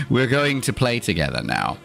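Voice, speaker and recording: A man's voice: mid-range voice, gravelly, a bit bright. A man hosting an in-character livestream, talking theatrically in a put-on accent with dry, opinionated asides. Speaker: streamer host.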